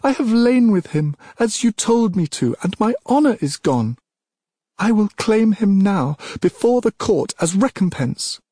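A man voicing a headstrong, desperate young princess in a story, shrill, insistent and emotional. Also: sing-song, unhurried, warm tone, husky, no echo, clean studio sound, exaggerated voice. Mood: indignant.

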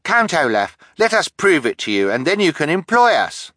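A man's voice: fairly high pitch, very animated, normal speed, bright, forceful tone, no echo, studio quality, pitched above the performer's own voice. A man voicing an eager male carnival freak in an audiobook, insistent and desperate to prove himself.

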